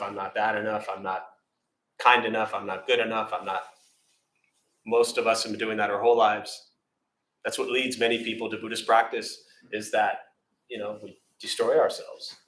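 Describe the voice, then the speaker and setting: Bright-voiced American man in echoey hall. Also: lowish voice, fairly even intonation, moderate pace. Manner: casual, self-deprecating, rambling with fillers. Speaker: meditation teacher. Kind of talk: informal dharma talk with questions. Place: temple meditation hall. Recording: echoey room.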